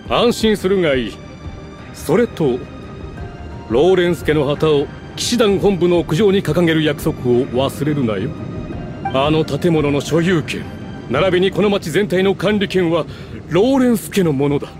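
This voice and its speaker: Male actor as haughty nobleman, high-pitched voice, scheming, grandly declaiming, anime game, music behind. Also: lively intonation, normal speed, lightly acted. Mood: smug, imperious. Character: pompous scheming aristocrat, proud adult man. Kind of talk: anime video game voice acting.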